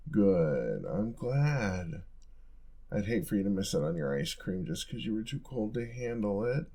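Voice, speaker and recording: A man acting a low, creaky-voiced caring young roommate. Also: sing-song, a little slow, warm tone, exaggerated voice. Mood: gentle, caring. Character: caring, teasing young male college roommate with a crush. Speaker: audio roleplay performer. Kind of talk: intimate ASMR-style audio roleplay.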